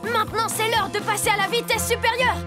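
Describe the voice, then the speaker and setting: Eager, very high-voiced little boy superhero. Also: a little fast. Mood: determined, excited. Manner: earnest, excitable. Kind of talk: animated cartoon dubbing. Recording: music behind the voice. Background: music.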